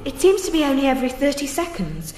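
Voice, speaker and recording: An actress playing a dutiful, uncertain young nurse in a radio drama. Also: female voice, high voice, sing-song, quick pace, darkish tone, echoey room, a little hiss or hum.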